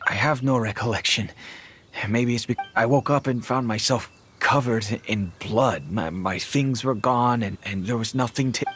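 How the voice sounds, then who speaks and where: A man voicing a gentle, apologetic father who has lost his memory, speaking hesitantly and repeating words. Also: mid-range voice, moderate pace, slightly rough, soft background music. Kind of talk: game voice acting.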